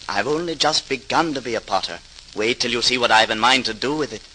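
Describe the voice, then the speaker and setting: An actor playing a modest, earnest and dedicated potter in an old-time radio drama, on a noisy vintage recording. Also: male voice, mid-range voice, brisk, pushed and bright, lightly acted. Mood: eager, pleased.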